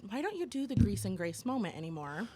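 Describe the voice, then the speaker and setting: A woman co-hosting a podcast, loud and quick to laugh in casual chat. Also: mid-range voice, big pitch swings, crowd noise. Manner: laughs often, loud, bubbly. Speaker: podcast co-host. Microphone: close studio mic.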